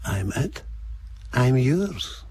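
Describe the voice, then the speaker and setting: A mellow, sardonic Scottish older man. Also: medium pitch, sing-song, extremely slow, slight background noise. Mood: sardonic, cocky. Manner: sarcastic, cocky, bantering. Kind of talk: radio drama acting.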